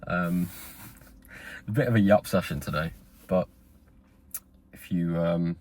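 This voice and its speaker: A man's voice: low-pitched, slow, a little mellow. A man, talking straight to camera in a rambling, earnest motivational monologue. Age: 20s. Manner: rambling, earnest, with fillers and restarts. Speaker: vlogger.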